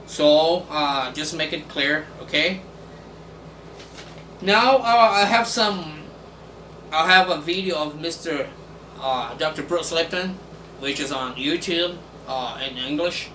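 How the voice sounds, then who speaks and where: A man whose first language is Portuguese, hosting a casual video and reading text aloud in English with many pauses and fillers. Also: a little high, bright, forceful tone. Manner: halting, many fillers and restarts. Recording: echoey room from far off, faint background noise.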